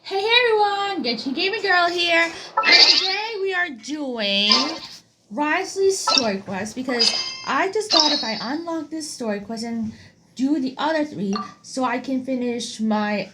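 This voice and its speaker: A woman giving casual gameplay commentary as a gaming video host, halting and often rephrasing herself. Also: on the high side, heavy background noise. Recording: loud game music over voice. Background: video game music.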